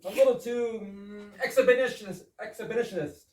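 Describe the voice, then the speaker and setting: A woman's voice: a little high, normal speed, darkish tone, lightly acted. A woman playing a critical, grumpy householder in an amateur readers theater absurdist comedy, with dry declamation. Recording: roomy, distant microphone.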